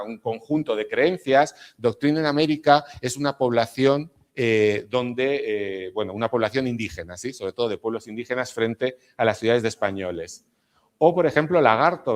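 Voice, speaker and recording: A middle-aged man from Spain with a Spanish accent touched by Argentine habits, giving an academic lecture and often checking in with the audience. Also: mid-range voice. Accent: Spanish with some Argentine features. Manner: explanatory, with frequent tag questions to the audience.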